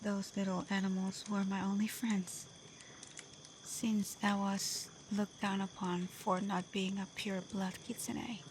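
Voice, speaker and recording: A woman's voice: mid-range voice, flat delivery, a little slow, a little hiss or hum, lightly acted, low-quality recording. Woman acting as tender, whispery young fox-spirit woman. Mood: wistful, hesitant. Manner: breathy, emotional delivery often dropping into whispers. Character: young half-blood kitsune woman, tender, devoted and vulnerable. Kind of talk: ASMR roleplay voice acting addressed to the listener.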